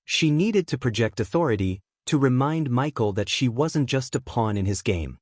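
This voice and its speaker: A man's voice: mid-range voice, expressive, moderate pace, a little mellow, no echo, studio quality. A man reading a dramatic fiction story as a scripted audiobook narrator.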